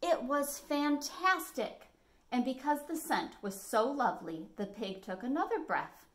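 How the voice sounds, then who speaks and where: American woman reading to children, animated. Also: high voice, a little slow. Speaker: library storytime host. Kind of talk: expressive children's picture book reading. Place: small living room at home, indoors.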